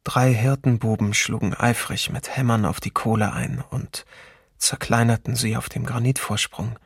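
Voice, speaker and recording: An actor voicing a sombre man recalling his childhood, reading a literary memoir with measured restraint in a documentary radio play. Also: mid-range voice, steady tone, creaky, breathy edge, no room sound, clean studio sound. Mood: sombre, reflective.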